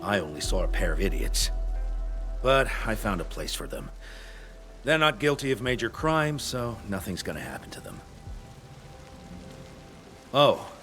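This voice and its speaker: A man's voice: mid-range voice, fairly bright tone. A male actor playing a blunt, dryly pragmatic, self-assured expert in a video game. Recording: game audio over music and rain.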